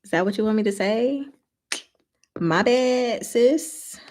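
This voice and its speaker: A woman hosting a casual, rambling livestream chat with viewers, talking in an expressive, playful way. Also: fairly high pitch, slow. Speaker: livestream host answering chat.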